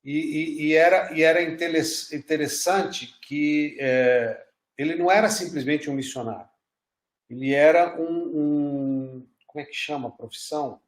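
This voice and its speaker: A man leads a church class, telling stories in a casual, halting way. His voice sits slightly high for a man. The room around him is echoey. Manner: conversational, storytelling with restarts. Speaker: pastor teaching a class.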